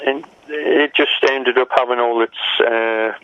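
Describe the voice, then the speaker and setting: A man's voice: medium pitch, fairly even intonation, a little slow, no echo. A man in his sixties from England, a musician telling rambling, wry stories with frequent laughs in an interview. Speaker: musician guest. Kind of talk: casual interview storytelling. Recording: muffled lo-fi audio.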